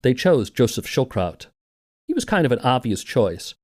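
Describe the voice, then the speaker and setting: A man's voice: mid-range voice, big pitch swings, normal speed, darkish tone. A man narrating a scripted documentary podcast as its host and interviewer.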